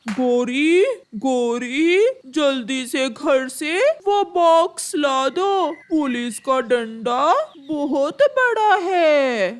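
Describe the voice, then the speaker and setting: A woman voicing a desperate male crow who calls out loudly in a cartoon. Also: sits high, expressive, extremely slow, hardly any pauses, heavily acted. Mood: pleading. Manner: calling out loudly.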